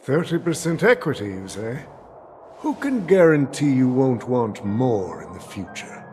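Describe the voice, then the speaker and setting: A man's voice: medium pitch, very animated, a little slow, a little mellow, a little hiss or hum. A male actor playing a sly, theatrical old merchant who heads a powerful family in a video game, savoring his words with amused calculation. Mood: skeptical. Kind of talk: dramatic video game dialogue. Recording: long hall reverb over faint music.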